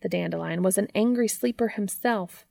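A woman's voice: mid-range voice, moderate pace, gravelly, mellow, no echo, clean studio sound. A woman reading a scripted story aloud as a storybook narrator. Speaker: fan fiction reader and narrator.

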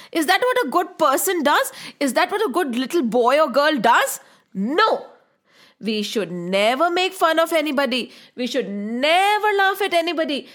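A woman's voice: very high-pitched, fairly bright tone, full character voice. A woman voicing a proud, easily upset young elephant god in a children's story, speaking emphatically with moralizing questions.

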